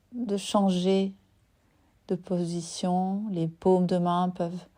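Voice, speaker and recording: Slow, mellow-voiced woman guiding meditation. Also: on the low side. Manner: soothing, unhurried, with long pauses between short phrases. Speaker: yoga nidra teacher guiding a relaxation. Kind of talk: guided meditation.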